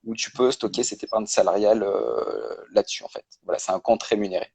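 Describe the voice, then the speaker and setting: A man speaks as a guest in a casual live-stream interview over a video call. His voice sits on the low side. He explains things step by step, pausing often on fillers as he works through each point. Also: sing-song, vocal fry, darkish tone, husky. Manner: explains step by step with many hesitations. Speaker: guest, personal finance creator.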